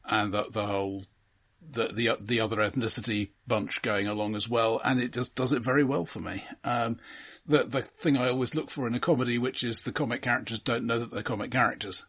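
Husky man calmly analysing films. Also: medium pitch, a little fast. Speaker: film podcast co-host. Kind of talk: casual film discussion.

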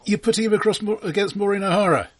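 A man co-hosting a casual film discussion, offering dry, opinionated remarks with halting restarts. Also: high-pitched. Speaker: podcast co-host.

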